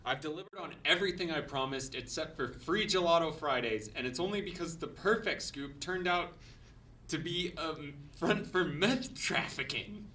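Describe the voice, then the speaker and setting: A man's voice: a little high, normal speed, sharp tone. A man playing an insecure, self-righteous young city mayor, defensive and whiny, in a comedic table read. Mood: defensive, indignant. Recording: distant mic, faint background noise.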